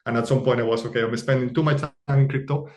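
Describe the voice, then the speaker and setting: A man from Argentina, a podcast guest telling personal stories casually and haltingly, repeating words as he forms his thoughts. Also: mid-range voice, steady tone. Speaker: podcast guest, former software engineer. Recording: roomy, echoey voice recording.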